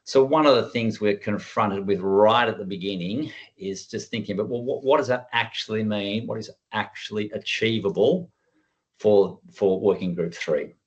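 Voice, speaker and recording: Guy with a lowish voice doing a casual online workshop talk, conversational. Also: normal speed, muffled recording. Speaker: workshop presenter, working group chair. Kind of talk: informal online presentation. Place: online video call.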